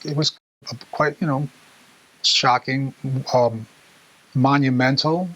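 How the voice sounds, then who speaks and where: A middle-aged man with a mid-range voice that stays fairly flat. He speaks haltingly, feeling for words in a casual personal recollection. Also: mid-range voice, poor audio. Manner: halting, hesitant, many fillers. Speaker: interviewee.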